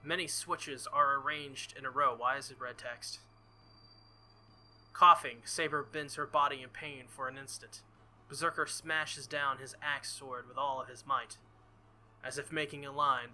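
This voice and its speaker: A man's voice: mid-range voice, steady tone, normal speed, bright, forceful tone, a little hiss or hum, rough recording. A man doing a visual novel playthrough, reading the story aloud dramatically and dropping in casual asides. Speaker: let's player.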